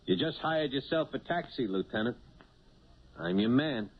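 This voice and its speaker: An actor playing a humble, earnest cab driver with a halting manner in a 1970s radio drama, on a tinny recording. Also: male voice, medium pitch, animated, music in the background, natural voice. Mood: earnest, modest.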